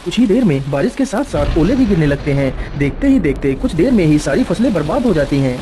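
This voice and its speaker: A man's voice: on the high side, sing-song, a little fast, background noise. A man narrating a scripted cartoon story in a storytelling style.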